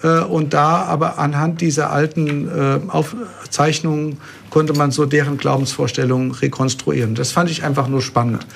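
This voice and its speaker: A middle-aged man from Germany, a pastor, talking about his life in a relaxed interview with dry humor and plain explanations. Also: on the high side, normal speed, gravelly, a little hiss or hum. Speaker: pastor and guest. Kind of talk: casual interview talk.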